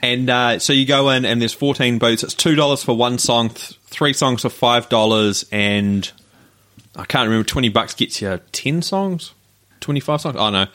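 A man with a New Zealand accent co-hosts a podcast. He talks in a casual, conversational style at an average pitch for a man. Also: normal speed, fairly bright tone. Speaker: podcast co-host. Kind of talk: casual chat.

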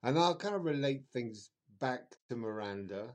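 A man's voice: mid-range voice, animated, moderate pace. Theatrical middle-aged man, exuberant talker. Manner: exuberant, flowery, theatrical, emphatic. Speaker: astrologer guest. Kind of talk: chatty interview storytelling.

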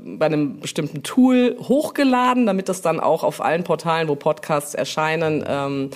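A woman's voice: low-pitched, normal speed. A middle-aged woman talking casually and explaining things as an interview guest, often feeling her way through sentences. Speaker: brand manager and interview guest.

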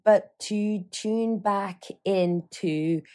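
A woman in her thirties with a slightly croaky voice, chatting casually on her own podcast, rambling and poking fun at herself. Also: medium pitch, extremely slow, mellow. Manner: rambling, self-mocking, loses her train of thought. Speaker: podcast host. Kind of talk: casual solo chat.

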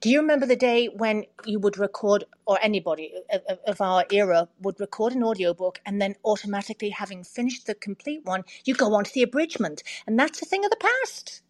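Middle-aged woman, mid-pitched, reflective and measured. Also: animated. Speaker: audiobook narrator, interview guest. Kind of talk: casual reflective conversation.

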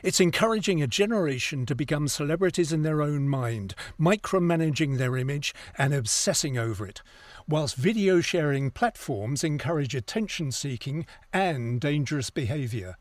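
Man playing an indignant higher-voiced cultural critic. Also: expressive, moderate pace, no room sound, natural voice. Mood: earnest, critical. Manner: articulate, opinionated. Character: indignant, scathing male cultural critic on news panel. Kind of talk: broadcast interview debate.

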